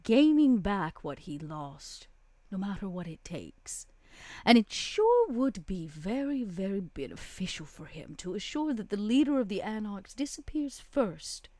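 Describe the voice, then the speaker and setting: A woman playing a poised, scheming female vampire power broker in a tabletop game, with theatrical, deliberate, flowery delivery. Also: medium pitch, sing-song, dark tone, dry recording. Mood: ominous, conspiratorial. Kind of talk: tabletop roleplay in character.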